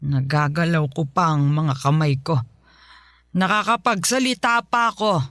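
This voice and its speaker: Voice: medium pitch, unhurried, fairly bright tone, no room sound, clean studio sound, natural voice. An actor playing a bitter, fault-finding elderly mother, bedridden after a stroke, complaining and sighing in a Filipino radio drama. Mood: bitter, stubborn. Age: older.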